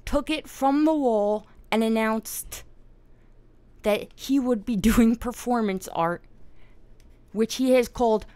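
A woman's voice: on the high side, takes it slowly, pauses often, darkish tone, no echo. A woman hosting a casual live-streamed discussion, swearing often and laughing as she tells the story. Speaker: podcast host.

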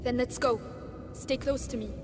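An actress playing a brave, protective teenage older sister in a dramatic video game, earnest and urgent. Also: female voice, a little high, fairly even intonation, normal speed, noisy background, close to an everyday voice. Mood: resolute.

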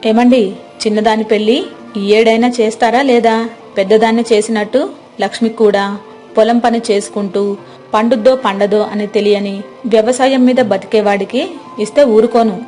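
A woman's voice: fairly high pitch, steady tone, moderate pace, mellow, soft background music, natural voice. A woman voicing a nagging, self-interested middle-aged village wife in a cartoon story, complaining and argumentative.

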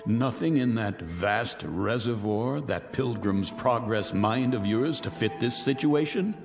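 A man's voice: lowish voice, animated, a little slow, gravelly, in a large hall, over music. An actor playing a scornful man who taunts his captives in a radio drama, with a mocking, theatrical manner. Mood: sneering.

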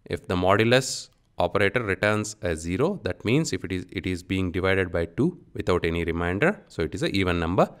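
A man giving an instructional software tutorial, dictating in short, clipped phrases as he works through each step. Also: low voice, animated, fairly quick, few pauses, smooth. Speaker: programming instructor.

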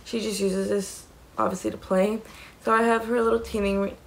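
A young woman talks into a close camera in a small room, her voice raspy with gravelly fry. She rambles through a casual show-and-tell full of "um" and "like." A faint hiss sits under the recording. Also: mid-range voice, level tone, moderate pace. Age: young adult. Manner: chatty, rambling, many "um" and "like". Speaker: mom vlogger. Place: small indoor room against a plain wall.

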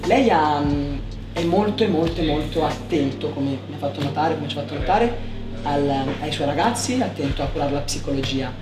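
A man's voice: fairly high pitch, expressive, moderate pace. A man, asking conversational questions as an interviewer. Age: 20s. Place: furnished indoor lounge, on a sofa. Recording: echoey room, distant mic. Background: music.

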